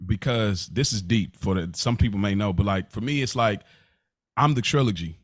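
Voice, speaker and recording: A man's voice: on the low side, moderate pace, dry recording, studio quality. A man co-hosting a podcast in casual, slangy talk, often repeating and rephrasing himself as he explains things. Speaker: podcast co-host. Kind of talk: casual chat.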